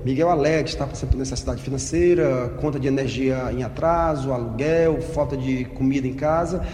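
A male police chief speaking with measured, hesitant official phrasing. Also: mid-range voice, dark tone. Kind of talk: interview soundbite. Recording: roomy reverberant office, faint noise.

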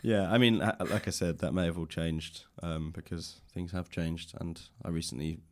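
A man's voice: low voice, steady tone, moderate pace. A man hosting a casual, conversational interview. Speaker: podcast host and interviewer. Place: indoors in a public library room.

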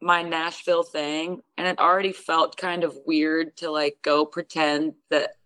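A young woman, a singer, tells a story in a casual interview. Her voice sits a little low for a woman, and the sound is dry and close. She tells the tale with energy and acts out the other people's lines. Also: unhurried, clear, resonant tone, dry recording, low-quality recording. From Houston, Texas. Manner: lively storyteller who acts out what others said. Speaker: guest singer.

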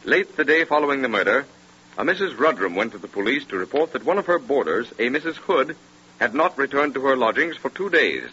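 Forceful male 1930s radio narrator. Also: medium pitch, sing-song, brisk, breathy edge. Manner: grave, theatrical. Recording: noisy, dull 1930s radio recording.

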